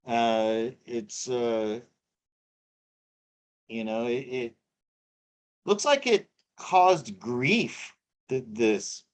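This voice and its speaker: A middle-aged man chatting on a live tarot stream, his voice sitting at an ordinary middle pitch. He talks in halting bursts, repeating words and leaning on lots of fillers as he thinks aloud. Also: lively intonation. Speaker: co-host reading tarot cards. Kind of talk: casual live-stream chat.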